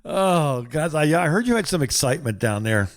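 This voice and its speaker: An older man co-hosting a podcast, talking casually and haltingly, with an amused storytelling manner. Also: a little high, very animated. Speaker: podcast co-host. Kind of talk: casual phone chat.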